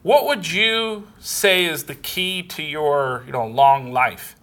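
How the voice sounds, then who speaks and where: A man playing a polite, curious female reporter, pitching his voice up. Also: slow pace, a bit bright.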